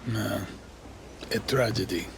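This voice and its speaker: Gravelly, swaggering veteran guerrilla. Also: male voice, on the low side, takes it slowly, noisy background. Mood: businesslike. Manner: wry, swaggering, profane. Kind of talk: dramatic video game cutscene acting. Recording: loud music behind the voice.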